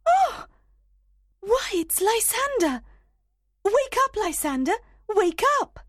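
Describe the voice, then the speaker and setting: A man's voice: very high voice, sing-song, takes it slowly, no echo, clean studio sound, voice pushed up, exaggerated voice. A man voicing a lovelorn young woman in a storybook, plaintive, wounded and dramatic. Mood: startled. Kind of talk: storybook character voice.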